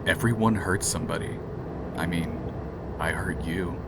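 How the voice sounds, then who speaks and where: A fairly deep young man's voice from an actor, playing a protective, steady ex-boyfriend with some remorse. The lines are radio drama dialogue. There is clear noise behind the voice. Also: moderate pace, natural voice. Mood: regretful.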